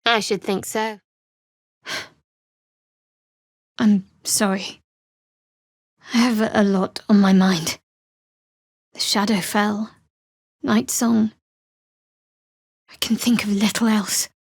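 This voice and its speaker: A woman voicing a guarded, wry and secretive young female cleric in a fantasy video game, with a measured, dry delivery. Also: medium pitch, steady tone, no room sound. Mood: soft, earnest. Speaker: video game character voice actor. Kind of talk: video game voice lines, dramatic dialogue and spell calls.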